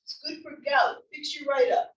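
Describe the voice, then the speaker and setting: A woman's voice: high voice, fairly even intonation, normal speed, fairly bright tone, highs cut off. A woman reading the part of a cheerful, adventurous older wife in a comedy play. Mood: upbeat, playful. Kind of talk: table read of a comedy play. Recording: roomy, distant library table-read recording.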